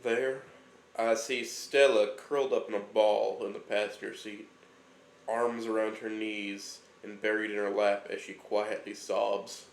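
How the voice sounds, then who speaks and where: A man's voice: on the low side, flat delivery, measured pace, creaky, rough recording. A man playing a bitter, self-loathing young man who narrates his own story in a sardonic, brooding way for an audio drama. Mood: dazed, confused.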